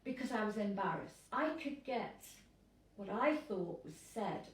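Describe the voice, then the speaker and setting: A woman's voice: medium pitch, expressive, a little slow, far from the mic. Earnest woman priest, echoey room. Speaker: priest with hearing impairment. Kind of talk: interview.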